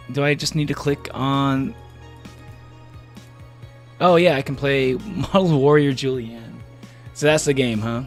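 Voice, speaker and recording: A man chats casually with his viewers while he plays a game on stream. His voice sits a little high for a man, and he rambles, restarts his sentences and laughs often. Faint game music plays behind him. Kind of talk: casual live-stream chat while gaming.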